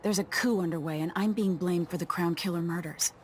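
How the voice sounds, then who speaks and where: An actress as a young empress who has lost her throne, in a video game. She is resolute and controlled. Her voice sits a little low, with faint noise in the background. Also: fairly quick, darkish tone, close to an everyday voice. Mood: determined, urgent.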